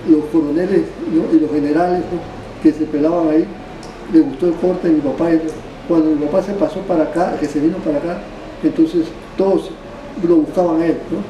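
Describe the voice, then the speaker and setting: An older man tells his story in halting bits, restarting phrases and tacking on little tag questions. His voice sits fairly high. He is picked up from a distance in a roomy space with steady ambient noise behind him. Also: highs cut off. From Panama. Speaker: barber being interviewed. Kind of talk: rambling oral history. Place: barbershop.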